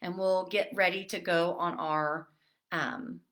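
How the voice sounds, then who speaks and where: A woman fitness instructor leading a seated online exercise class, chatty with fillers, then giving calm breathing cues. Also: fairly low pitch, slow. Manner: chatty and apologetic, with many fillers. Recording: roomy echo, distant from mic.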